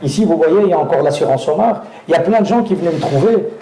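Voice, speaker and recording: Guy telling stories on stage, high-ish mellow voice, lots of euh and restarts, roomy hall sound. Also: lively intonation, quick pace, crowd noise. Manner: hesitant, many fillers and restarts, laughs while telling anecdotes. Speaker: actor guest. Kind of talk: live talk on stage, storytelling.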